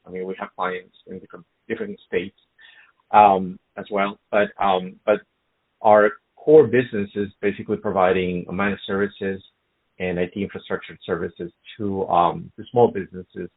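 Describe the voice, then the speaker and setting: A middle-aged man from Colombia, a business guest in a casual interview, speaking haltingly with many fillers and restarts. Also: sits low, flat delivery. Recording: phone-like narrow sound.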